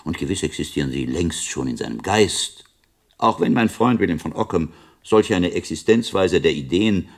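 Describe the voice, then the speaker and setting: A scholarly medieval friar in a radio drama. The voice is low and raspy, with wide swings of intonation. He teaches with declamatory conviction, like a visionary mentor sure of his ideas. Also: a little mellow, full character voice. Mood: assured, emphatic. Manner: didactic, declamatory. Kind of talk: radio play acting.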